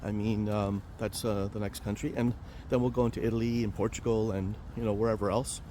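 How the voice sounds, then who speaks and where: A man's voice: fairly low pitch, little pitch movement, brisk, dark tone, slight background noise. Rambling man vlogging outdoors. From Romania. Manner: rambling, chatty, many fillers and restarts. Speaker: vlog host, financial planner. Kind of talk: casual vlog walking outdoors. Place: outdoors in a park near a highway.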